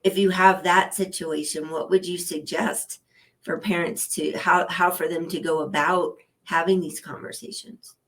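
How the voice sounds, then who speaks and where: A woman hosts a conversational interview. Her voice sits a little low for a woman as she puts questions to her guest and picks up on earlier points. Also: fairly even intonation, moderate pace, fairly bright tone, poor audio.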